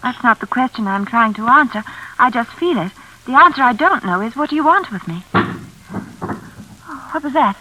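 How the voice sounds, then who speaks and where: An actress playing an anxious, polite young live-in companion who acts and narrates in a vintage radio thriller. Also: female voice, fairly high pitch, lively intonation, moderate pace, long pauses, bright, forceful tone, rough recording. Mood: frightened. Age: young adult. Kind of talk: old-time radio drama acting and narration. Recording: vintage radio drama recording.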